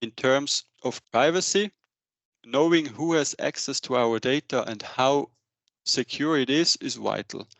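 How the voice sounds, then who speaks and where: A man in his thirties presents a business webinar in a mid-range voice. His delivery is halting, partly read from slides. The headset sound is dry, with little room to it. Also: medium pitch, vocal fry, fairly bright tone, dry recording. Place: indoor room, on a video call.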